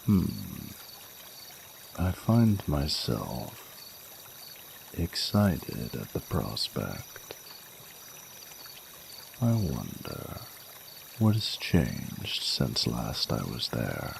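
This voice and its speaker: A man playing an ancient dragon king in a romantic audio roleplay, tender and flirtatious, with formal, courtly phrasing and a gravelly voice. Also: very deep voice, very animated, drawn-out words, pauses often, full character voice. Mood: courtly, affectionate. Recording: noticeable noise, running water sounds.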